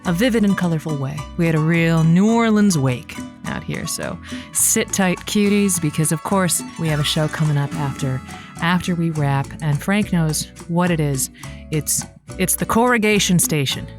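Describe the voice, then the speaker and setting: A woman playing a chatty, kindly but awkward lonely-hearts radio host in an audio drama, with a halting, playful, folksy delivery. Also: low-pitched, soft background music, close to an everyday voice. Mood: affectionate sign-off.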